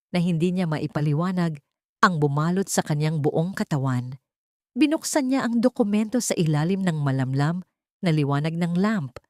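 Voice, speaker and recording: A woman's voice: deep voice, darkish tone, no room sound, clean studio sound. A woman narrating a scripted audio story in a dramatic storytelling style.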